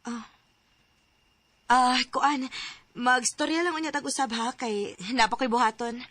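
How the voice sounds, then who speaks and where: A woman's voice: sits high, fairly even intonation, measured pace, a bit bright. An actress with a Cebuano accent playing an emotional young woman in a radio drama. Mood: soft, lovelorn. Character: emotional young woman hurt by her boyfriend.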